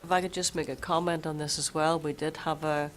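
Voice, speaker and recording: A superintendent who is losing their voice a bit, explaining a budget at a school board meeting in a measured way and repeating words while thinking. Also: mid-range voice, little pitch movement, fairly bright tone, slight background noise. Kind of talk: budget presentation at a public meeting.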